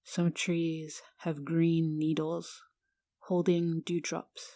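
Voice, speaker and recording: A woman guiding a meditation in a hushed, soothing, measured manner with long pauses. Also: low voice, completely flat delivery, no room sound. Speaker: yoga teacher guiding a meditation. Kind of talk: guided meditation narration.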